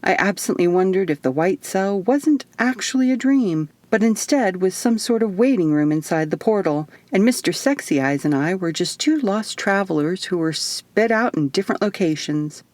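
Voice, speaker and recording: A woman's voice: medium pitch, animated, normal speed, hardly any pauses, a little mellow, no room sound. A woman reading scripted first-person audiobook narration as a storyteller. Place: dry, quiet recording space.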